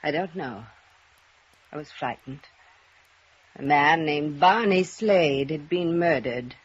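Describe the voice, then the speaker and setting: A woman's voice: deep voice, slow. A woman acting an anxious, refined, mature lady in a 1950s radio melodrama, speaking hesitantly. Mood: frightened. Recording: dull, muffled old radio recording.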